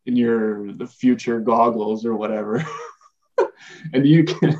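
A man's voice: medium pitch, measured pace, darkish tone. A man co-hosting a podcast, speculating eagerly and laughing as he talks. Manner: enthusiastic, laughs while speculating. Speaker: podcast co-host. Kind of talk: casual chat. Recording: slightly dull lo-fi sound.

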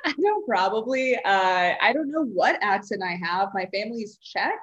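A woman's voice: medium pitch, animated, normal speed, fairly bright tone, highs cut off. Upbeat woman guest from Texas. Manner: upbeat, enthusiastic, laughs often. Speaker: podcast guest, personal trainer. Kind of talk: casual interview chat.

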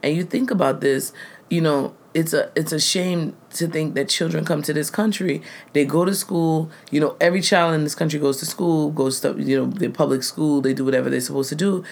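A man from the United States co-hosting a casual chat, talking in an earnest, emphatic way that gets louder when he makes a point. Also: a little high, fairly even intonation, fairly quick, creaky.

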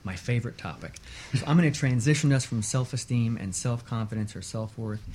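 A man speaking as an expert guest on a radio talk show, explaining in a measured, emphatic way and repeating points for stress. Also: medium pitch, a little mellow, a little hiss or hum.

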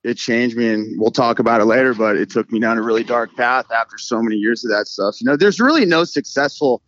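A man's voice: medium pitch, a little fast, a bit bright, dull audio. Laid-back rambling middle-aged man. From California. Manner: loose, rambling, full of "like" and "you know". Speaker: freestyle motocross rider, guest. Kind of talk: casual podcast conversation.

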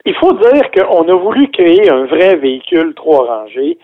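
Québécois guy calling in, kinda high voice, chatty, tinny phone sound. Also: very animated, moderate pace. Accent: Québécois French. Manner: chatty, explains with a joking aside. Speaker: car reviewer guest. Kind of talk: casual radio chat. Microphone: phone line.

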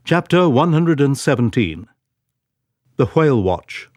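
Lively male announcer, very dry sound. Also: mid-range voice, a little slow, no room sound. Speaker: introducer. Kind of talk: scripted title announcement.

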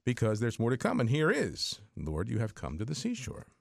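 A man hosting a radio call-in quiz in a studio, announcing in a lively way and repeating the phone number. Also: mid-range voice, a little fast, vocal fry, no echo. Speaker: radio host.

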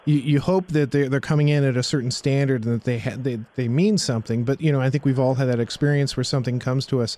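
A man's voice: medium pitch, fairly even intonation, fast, hardly any pauses, warm tone. A man, hosting a podcast in a conversational interviewing style. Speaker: podcast host. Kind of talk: conversational interviewing and show outro.

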